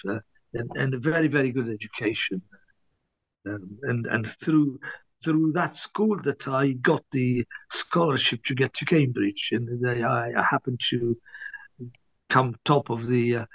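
An older man from Libya, an author, recalling his past in an interview with many restarts and much throat clearing. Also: medium pitch, no echo, dull audio. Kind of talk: hesitant interview reminiscence.

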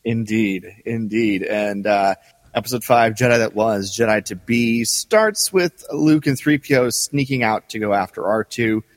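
A man's voice: lowish voice, measured pace, no room sound, big-room sound. A man co-hosting a podcast in casual, conversational discussion. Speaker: podcast co-host. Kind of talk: casual discussion of a radio drama.

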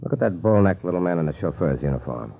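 An actor playing a businesslike, watchful American FBI agent in a 1940s radio drama. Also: male voice, sits low, lively intonation, fast, gravelly, soft background music. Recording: thin, phone-like 1940s radio recording.

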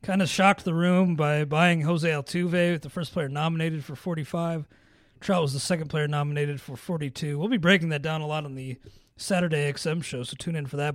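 A man hosting a podcast, talking casually about fantasy baseball in a raspy voice and clearing his throat now and then. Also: a little high, no room sound, clean studio sound. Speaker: podcast host.

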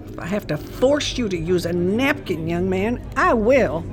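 A woman's voice: mid-range voice, expressive, unhurried, noisy background, lightly acted. A woman playing a cruel, haughty old family matriarch in an audio drama, scolding in formal diction. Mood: scolding, contemptuous. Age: older.

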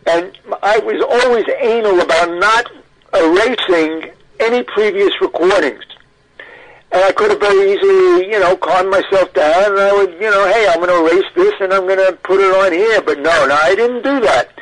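A man's voice: sits high, moderate pace, a bit bright, poor audio. An older man recalls things in a radio interview, talkative and emphatic, often interrupting himself. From Brooklyn, New York. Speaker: interview guest, audio archivist. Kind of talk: animated personal recollection in a radio interview.

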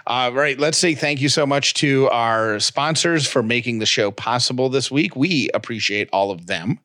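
A man co-hosting a podcast with his wife, talking in an animated, rambling, self-mocking way. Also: mid-range voice, normal speed. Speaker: podcast co-host. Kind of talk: casual chat.

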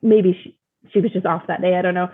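Husky young woman on a phone-like line. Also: lowish voice, steady tone, fast talker, dry recording. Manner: informal, candid, often uses "like". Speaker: interview guest, mother sharing her experience. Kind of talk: casual personal storytelling. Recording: thin, phone-like call audio.

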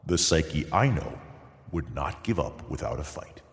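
A man playing a gentle, consoling older father in a dramatized myth. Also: rumbling low, sing-song, a little slow, creaky, breathy edge, in a large hall, exaggerated voice. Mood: tender, concerned. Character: gentle, encouraging older father of a heartbroken daughter.

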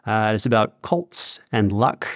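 A man's voice: lowish voice, animated, unhurried, no room sound. Man in casual interview, dry close sound. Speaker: podcast guest, audio drama producer.

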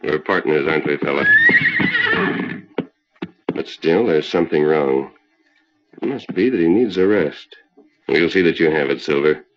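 A man's voice: rumbling low, a little hiss or hum. An actor playing a heroic masked cowboy lawman, firm, earnest and commanding, in old-time radio western style. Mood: concerned, gentle. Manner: firm, earnest, measured delivery. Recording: muffled vintage radio recording.

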